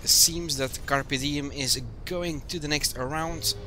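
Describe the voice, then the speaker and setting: A man with a Dutch accent, a native Dutch speaker, calmly calling live play-by-play for an online game stream while he has a cold. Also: on the high side, slow. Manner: calm running play-by-play. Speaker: esports stream caster. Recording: game music loud behind the voice. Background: loud game music.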